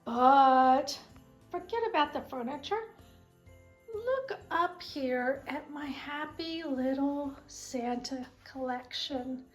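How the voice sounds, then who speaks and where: A middle-aged woman with a voice made raspy by a sinus cold, chatting fondly and rambling as she shows her collection in her home kitchen. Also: high-pitched, slow pace, soft background music. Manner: chatty, fond, meandering. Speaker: vlog host. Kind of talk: casual home tour chat.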